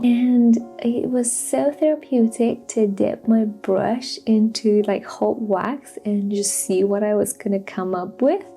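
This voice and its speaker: A woman's voice: medium pitch, warm tone. A woman from Romania giving a chatty, personal lifestyle vlog voiceover. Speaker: lifestyle vlogger.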